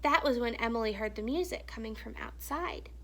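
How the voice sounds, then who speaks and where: A woman reading a children's story aloud in an expressive, animated storytelling manner with hushed, dramatic phrasing. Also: on the high side, fairly quick. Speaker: teacher or reader reading a children's picture book aloud.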